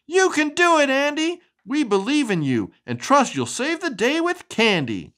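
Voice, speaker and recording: A woman voicing excitable, earnest witch townsfolk from a children's storybook. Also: sits high, measured pace, voice pushed up, heavily acted. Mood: cheering.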